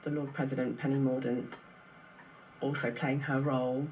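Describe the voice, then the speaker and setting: A commentator gives restrained live ceremonial commentary in short halting phrases, heard through an old radio. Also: medium pitch, little pitch movement, slight background noise. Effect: played through old radio. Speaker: broadcast commentator. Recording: thin, narrow sound through vintage radio.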